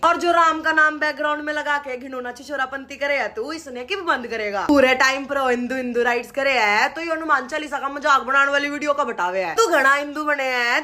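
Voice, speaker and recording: A woman's voice: high-pitched, sharp tone. A woman with a Haryanvi accent making a mocking challenge video, talking in a taunting, sarcastic way. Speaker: online commentator.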